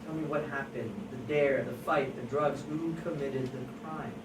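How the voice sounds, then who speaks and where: Low-voiced, insistent woman police officer, acted. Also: fairly even intonation, normal speed. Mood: pressing, suspicious. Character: insistent, concerned police officer questioning a suspect. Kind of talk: script table read. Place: large indoor room at a live table read. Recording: distant echoey room, loud crowd and noise.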